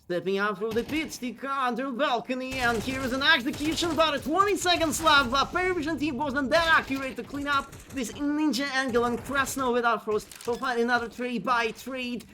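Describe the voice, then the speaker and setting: A man casting an esports match in non-native English, giving fast play-by-play that climbs during the action and chatting with viewers between rounds. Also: slight background noise. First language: not English. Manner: rapid, excitable calls that climb in pitch during action. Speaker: esports caster. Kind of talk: solo play-by-play commentary with chat asides.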